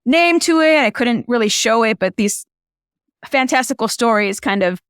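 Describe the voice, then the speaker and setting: Smooth-voiced chatty woman, native Spanish speaker. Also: a little high, lively intonation, normal speed, fairly bright tone, no room sound, studio quality. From Illinois, USA. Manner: chatty, frequent "you know" and "like" fillers. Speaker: guest filmmaker. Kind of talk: casual conversational storytelling.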